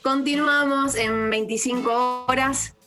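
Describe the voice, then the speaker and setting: Woman playing a bright, flustered Argentine radio host. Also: high voice, steady tone, faint music behind, exaggerated voice. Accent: Buenos Aires Argentine. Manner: hesitant, halting, formal on-air phrasing. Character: flustered female live radio host trying to stay composed. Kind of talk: radio drama acting.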